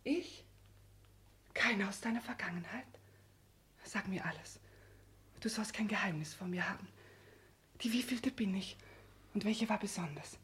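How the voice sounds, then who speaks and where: An actress playing a naive, tender young bride in a 1960s German radio drama, intimate and often breathy. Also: female voice, medium pitch, a little slow, long pauses, fairly bright tone, natural voice. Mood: eager, intimate.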